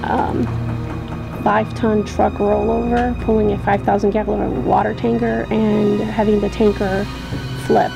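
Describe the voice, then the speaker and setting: Woman, young adult, from Poland, hesitant interview answers, gravelly, faint music behind. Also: medium pitch, flat delivery, a little slow. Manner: hesitant, many ums, restarts. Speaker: interviewee, army veteran.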